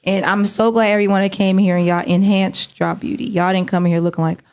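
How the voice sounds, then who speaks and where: A woman with a gravelly, fry-edged voice hosts a relaxed chat around a table. She talks loosely with plenty of fillers, jokes and quick laughs, sitting at a middle pitch for a woman. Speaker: host. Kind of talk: casual chat. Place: indoor set, table of guests.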